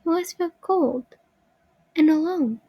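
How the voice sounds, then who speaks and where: A young woman voicing a shy, gentle animatronic puppet girl with a soft, childlike voice, timid and pleading when frightened. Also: extremely high pitch, unhurried, dry recording, dull audio, pitched above the performer's own voice. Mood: sad.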